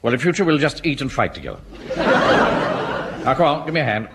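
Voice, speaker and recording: An actor playing a pompous, officious middle-aged English Home Guard captain in a broadcast sitcom. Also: male voice, medium pitch, big pitch swings, moderate pace. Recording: noisy old radio comedy recording.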